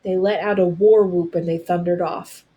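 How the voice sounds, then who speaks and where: A woman narrating a storybook aloud as a storyteller, with dramatic, deliberate phrasing. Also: fairly low pitch, steady tone, dull audio.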